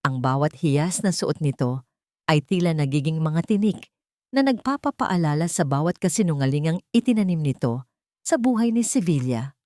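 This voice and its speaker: A woman's voice: deep voice, animated, normal speed, warm tone, no room sound, clean studio sound. A woman storyteller delivering scripted, dramatic narration of an audio story.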